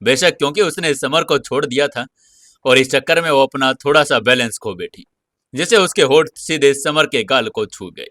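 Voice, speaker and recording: Bright-voiced man narrating a drama. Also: medium pitch, moderate pace, dry recording, clean studio sound. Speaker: audio story narrator.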